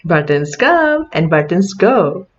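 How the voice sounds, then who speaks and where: A woman reads a children's picture book aloud at an unhurried pace. Her pitch moves up and down in an animated way, with a teacherly delivery. The recording sounds a little dull and lo-fi. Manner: deliberate teacherly delivery with questions and counting. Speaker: children's read-aloud host.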